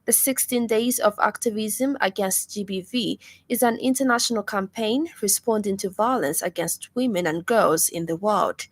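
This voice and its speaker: Zambian woman reading formal radio news. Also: mid-range voice. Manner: formal, measured delivery. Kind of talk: scripted news bulletin.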